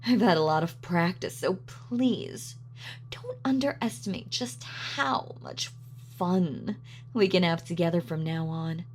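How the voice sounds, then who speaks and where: A woman's voice: fairly low pitch, a little slow, slight background noise. A woman playing a gloating, sadistic supervillain in an audio roleplay, with a mock-sweet, sardonic, taunting manner. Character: gloating female supervillain, sadistic, darkly playful. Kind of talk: villain monologue in audio roleplay.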